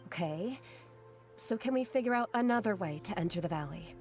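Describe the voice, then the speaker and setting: A woman voicing a timid, gentle young female assistant scholar in a video game, polite and hesitant. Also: mid-range voice, animated, a little fast, faint music behind, natural voice. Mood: shy. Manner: hesitant, soft-spoken, polite.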